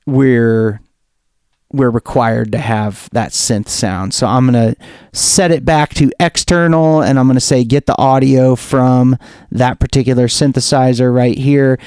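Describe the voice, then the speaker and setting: A man giving a casual software tutorial, thinking aloud in an informal way as he demonstrates. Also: medium pitch, clean tone, dry recording, studio quality. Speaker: music producer demonstrating software.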